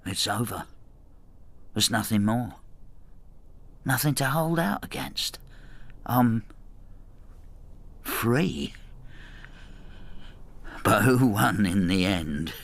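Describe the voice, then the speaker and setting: A man's voice: lowish voice, animated, very slow, gravelly, long pauses, a bit bright, heavily acted. An actor playing an embittered, spiteful old husband who narrates his marital war in a brooding, intimate radio drama style. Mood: anguished. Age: older.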